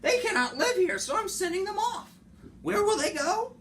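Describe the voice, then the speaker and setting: A man voicing a small, cranky old woodland creature from a storybook, indignant and pleading, with a strained, croaky voice. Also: big pitch swings, normal speed, a bit bright, heavily acted. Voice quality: strained, high-pitched, coughing croak. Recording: home recording with clear background noise.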